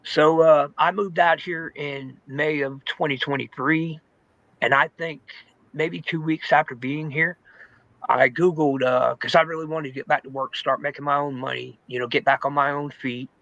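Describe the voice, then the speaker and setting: A man's voice: a little high, fairly even intonation, moderate pace, a bit bright, dry recording, highs cut off. A man giving a personal testimony in an informal, candid, conversational storytelling way, saying "man", "dude" and "you know" often. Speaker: testimony guest in recovery.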